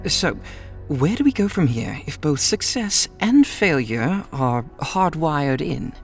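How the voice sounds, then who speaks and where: An actress playing a skeptical, probing idealist in an audio drama, wry and questioning. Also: female voice, low-pitched, very animated, takes it slowly, soft background music, exaggerated voice. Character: skeptical, probing, idealistic would-be public servant.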